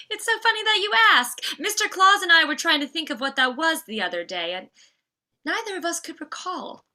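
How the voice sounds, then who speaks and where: Woman playing high, bright, sugary Mrs. Claus. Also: animated, normal speed, heavily acted. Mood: gushing. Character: Mrs. Claus, old, sweetly cheery, nagging wife. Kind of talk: table read comedy acting.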